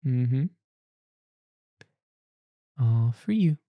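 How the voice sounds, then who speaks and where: A woman doing an ASMR roleplay as a mother comforting her child, speaking in a soft, cooing, soothing way close to the mic. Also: extremely low pitch, animated, drawn-out words, no echo, phone-quality sound. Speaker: roleplay performer.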